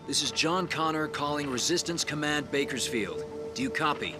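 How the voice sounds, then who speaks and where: A man's voice: fairly high pitch, moderate pace, over music, natural voice. An actor playing a weary, determined male resistance fighter in a video game. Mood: somber.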